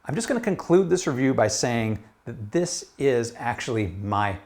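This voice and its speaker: A man's voice: mid-range voice, sing-song, normal speed, a little mellow. A man giving a casual product review in a conversational, emphatic way.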